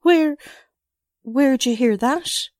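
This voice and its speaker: A woman's voice: high-pitched, extremely slow, a little mellow, dry recording, studio quality. A woman playing a defensive, easily riled working-class witness with folksy, ungrammatical talk in a 1940s-style radio drama.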